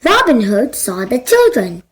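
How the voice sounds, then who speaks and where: High-voiced, slow-paced woman storyteller. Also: wildly swooping pitch, a little hiss or hum. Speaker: storybook narrator. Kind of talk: scripted children's story narration.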